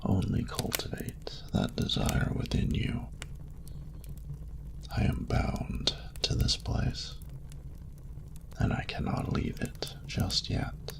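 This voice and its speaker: A man voicing an ancient, courtly dragon ruler who speaks in a breathy, gravelly murmur with formal, doting phrasing, in an intimate romantic audio roleplay. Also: very high-pitched, wildly swooping pitch, in a whisper, heavily acted, rough recording. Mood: tender, regretful. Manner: slow, formal, archaic, tender phrasing.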